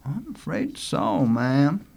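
A man's voice: fairly high pitch, huge pitch swings, takes it slowly, creaky, a little mellow, voice pushed up, exaggerated voice. A man voicing an eager, earnest island boy, polite and outspoken, with folksy rustic speech in a storybook reading.

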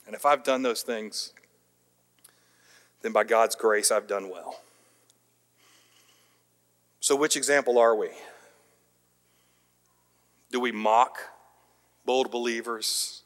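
A pastor preaching a sermon, with emphatic rising peaks, quiet dropped line endings and some light self-deprecating humor. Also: male voice, mid-range voice, steady tone, takes it slowly, pauses often. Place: church sanctuary. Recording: long hall reverb.

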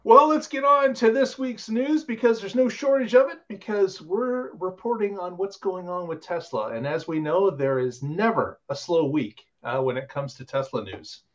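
Man, podcast host, high voice, chatty, filler-heavy, muffled audio. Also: expressive, normal speed, hardly any pauses, a bit bright. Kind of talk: casual chat show hosting.

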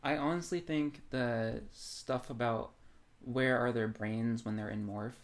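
Man casually co-hosting a podcast, mid-pitched. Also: steady tone, unhurried. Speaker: podcast co-host. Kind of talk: casual speculative discussion.

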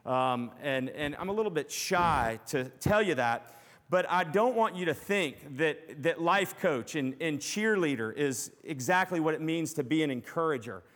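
A man's voice: fairly high pitch, animated, normal speed. Earnest preaching man in a reverberant hall. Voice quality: loud voice. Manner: earnest, encouraging, with frequent fillers and restarts. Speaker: pastor. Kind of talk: sermon.

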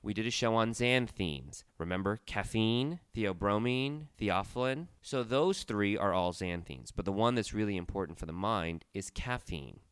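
A man's voice: lively intonation, moderate pace, hardly any pauses, smooth, dry recording. A middle-aged man hosting a studio talk show, talking in a chatty, storytelling way while he recovers from a cold with a stuffy nose. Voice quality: deep, resonant. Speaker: podcast host. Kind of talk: casual chat.

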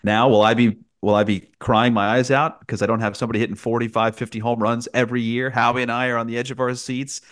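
A man's voice: mid-range voice, level tone, quick pace, hardly any pauses, a bit bright. A man who works as a sports broadcaster, giving conversational sports analysis as a podcast guest.

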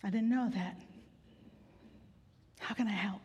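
A woman pastor giving a sermon in a relaxed, conversational way with gentle humor, weary from grief. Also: medium pitch, unhurried, husky, dull audio. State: grieving, tired. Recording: long hall reverb.

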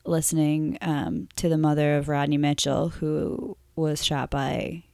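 Low gravelly woman, halting and soft. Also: level tone, no echo, studio quality. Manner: halting, many fillers, soft-spoken. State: losing her voice. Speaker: musician guest. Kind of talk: casual interview chat.

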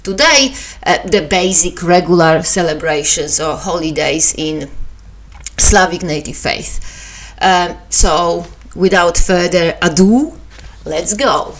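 Woman, Polish accent, podcast host, slow unhurried delivery, lowish voice, hesitant with fillers. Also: a little hiss or hum. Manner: hesitant, many fillers and restarts, self-deprecating. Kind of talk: casual solo podcast talk.

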